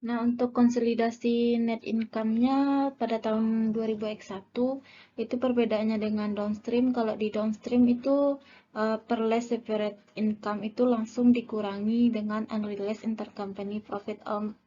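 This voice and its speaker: A young woman gives an explanatory class presentation as a student, haltingly reading out figures. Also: fairly high pitch, little pitch movement, mellow. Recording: dull lo-fi audio, clear background noise.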